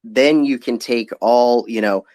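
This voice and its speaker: A man's voice: medium pitch, unhurried, darkish tone, no room sound. A man giving casual, explanatory answers as a company guest in an online audio interview. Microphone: online audio chat.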